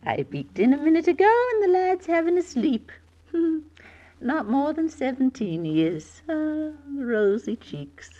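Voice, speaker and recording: A woman's voice: sits high, very animated, measured pace, warm tone. An actress playing a gossipy, nosy middle-aged London landlady with a Cockney accent, theatrical and relishing in an old radio drama. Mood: gleeful, gossipy. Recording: dull vintage radio recording.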